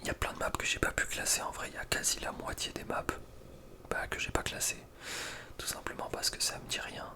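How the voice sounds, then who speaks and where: A man speaking in a breathy whisper, giving informal, slangy ASMR commentary as a gaming video creator. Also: a little fast. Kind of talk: whispered ASMR casual commentary ranking video game maps.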